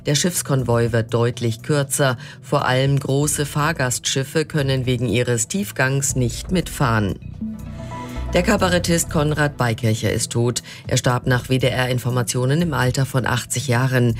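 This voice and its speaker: A man presents radio news in standard German, reading from a script. His voice sits at a medium pitch. Faint music runs behind him. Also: measured pace.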